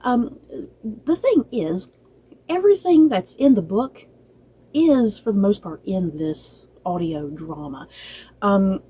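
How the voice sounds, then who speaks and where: Mellow-voiced woman chatting through a review. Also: medium pitch, lively intonation, takes it slowly, pauses often, slightly rough, poor audio. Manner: chatty, rambling, circles back and repeats herself. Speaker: host of a book and film review channel. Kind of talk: casual unscripted review chat to viewers.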